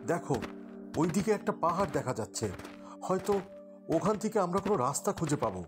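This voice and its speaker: A man playing an earnest, protective young adventurer in an animated fairy tale. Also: a little high, brisk, over music. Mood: reassuring, wary.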